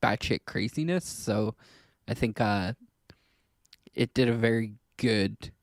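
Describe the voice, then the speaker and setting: A man co-hosting a podcast in a casual discussion, explaining things in an informal, halting and rambling way. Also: lowish voice, no room sound, clean studio sound. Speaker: podcast co-host. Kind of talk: casual discussion of films and politics.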